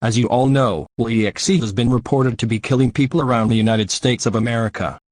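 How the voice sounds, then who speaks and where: A man's voice: medium pitch, steady tone, quick pace, a little mellow, no echo, natural voice. A synthetic man's voice playing a vlogger who relays a warning in a scripted announcement. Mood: plain warning.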